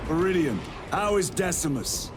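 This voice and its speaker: A man's voice: on the high side, animated, moderate pace, loud music behind the voice, natural voice. A man playing a stoic, devout warrior officer in a video game, clipped and authoritative. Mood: stern, controlled. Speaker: squad commander. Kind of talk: game cutscene acting.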